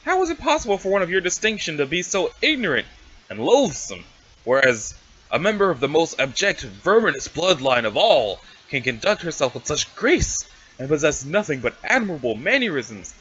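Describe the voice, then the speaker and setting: A man acts out a pompous alien aristocrat in a comic drama reading. The delivery is stilted and haughty, with florid formal wording and a bright, forceful edge. The recording is slightly dull and homemade. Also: fairly high pitch, very animated, normal speed, slight background noise. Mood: perplexed, disdainful. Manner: stilted, haughty, wordy diction.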